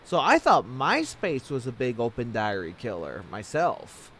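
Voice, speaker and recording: A man's voice: medium pitch, wildly swooping pitch, measured pace, a bit bright, a little hiss or hum. A man hosting a casual interview, talking informally and sharing his opinions in a rambling way. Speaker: interviewer and online video creator.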